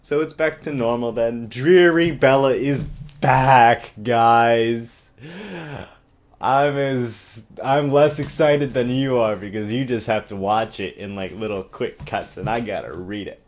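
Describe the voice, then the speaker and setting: A man's voice: medium pitch, slow pace. Sarcastic man doing a mock-dramatic book reading. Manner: theatrical, sarcastic, exaggerated. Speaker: amateur reader and comic commentator. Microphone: webcam. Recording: choppy amateur webcam recording.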